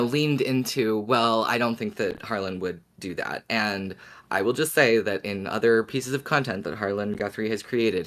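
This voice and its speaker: A woman co-hosting a podcast, casually discussing a story and its fans. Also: very deep voice. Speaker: podcast co-host.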